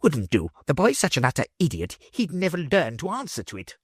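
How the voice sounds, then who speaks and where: Male reader as a sly, smooth official who soothes and schemes. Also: a little high, wildly swooping pitch, fairly quick, no room sound, clean studio sound, pitched above the performer's own voice, heavily acted. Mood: soothing. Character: smooth crafty middle-aged male official. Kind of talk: audiobook character reading.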